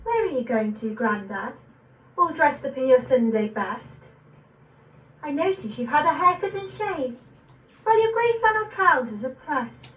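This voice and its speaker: A young woman of eighteen playing a curious, innocent little granddaughter, asking questions in a measured verse recital. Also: sits high, sing-song, fairly bright tone, roomy sound, far from the mic, exaggerated voice, low-quality recording. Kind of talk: dramatic poetry recital.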